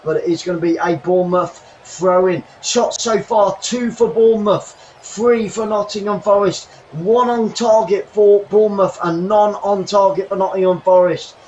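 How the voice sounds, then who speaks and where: Man, high voice, live football watchalong, excitable, faint background hum. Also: moderate pace, highs cut off. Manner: excitable, rising to shouts on chances. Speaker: watchalong host. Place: home bedroom.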